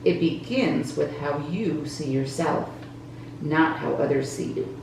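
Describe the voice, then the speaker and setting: A gentle storybook mother as a woman reader plays her comforts her child in a low, measured voice. It is tender and reassuring, with heavy room echo. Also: gravelly, full character voice. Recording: roomy echo, distant mic, faint noise.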